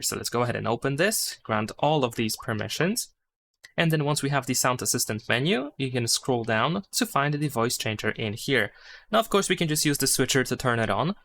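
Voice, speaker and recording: A man's voice: medium pitch, a bit bright. A man giving a casual, unscripted step-by-step phone tutorial, thinking aloud with some hesitation. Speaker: tutorial host. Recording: clear background noise.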